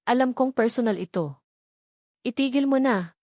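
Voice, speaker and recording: A woman's voice: on the high side, lively intonation, normal speed, a little mellow, no echo, pitched above the performer's own voice. A woman storyteller plays a stern, restrained man in an audio love drama. The delivery is blunt and firm, confronting a former lover with controlled anger. The recording is dry.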